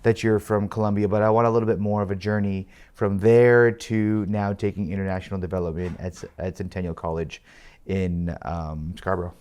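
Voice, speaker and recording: A man's voice: deep voice, level tone, fairly quick, darkish tone, clear, resonant tone. A man from Canada hosting an interview, chatting casually and asking many follow-up questions. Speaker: interview host.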